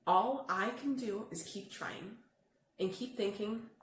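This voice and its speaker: A woman's voice: medium pitch, takes it slowly, lightly acted. A woman voicing a glum, misunderstood storybook bad seed trying to be good, with theatrical expression. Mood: hopeful, earnest. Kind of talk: children's picture book read-aloud. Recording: echoey room with faint noise.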